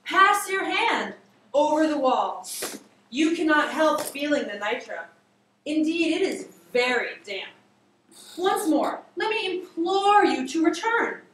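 A high-voiced woman reads a story aloud with big swings in pitch. Her delivery is theatrical and emphatic, with drawn-out words. She is recorded from across an echoey classroom. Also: sing-song, a bit bright, far from the mic, slight background noise. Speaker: teacher reading aloud to a class. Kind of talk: dramatic story reading. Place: classroom, indoors. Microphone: far camera across the room.

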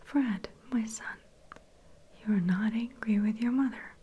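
A woman voicing a gentle, pleading widowed mother in a soft whisper, reading a storybook aloud. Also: fairly high pitch, unhurried, a little hiss or hum, lightly acted.

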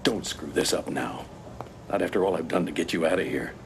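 A man's voice: deep voice, brisk, noisy background. An actor playing a stern, protective, middle-aged American prison officer in a video game, blunt and fatherly. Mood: concerned.

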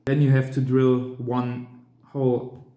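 Male DIY tutorial presenter, thirties, unhurried and halting non-native English, roomy indoor sound. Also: medium pitch, monotone, warm tone. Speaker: amateur radio hobbyist presenter. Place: hard-walled room indoors at a table.

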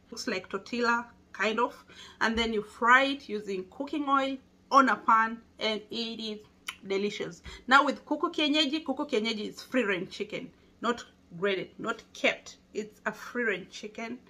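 Bright-voiced Kenyan woman vlogging. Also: a little high. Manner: chatty, explains things patiently. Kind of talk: casual talk to camera. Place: small room indoors.

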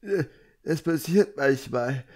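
Casting: timid, apologetic boy magician's apprentice, played by a man, raised voice pitch, hesitant, for a storybook reading. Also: expressive, takes it slowly, darkish tone, full character voice. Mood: evasive, sick.

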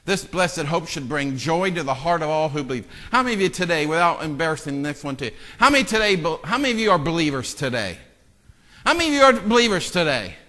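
A male pastor with a bright, forceful, fairly high voice preaches in a church sanctuary, his words ringing in long reverb. He drops to hushed, self-mocking asides to the congregation, then surges into loud, fervent calls. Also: big pitch swings, fast. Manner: self-deprecating joking with the congregation. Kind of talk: sermon.

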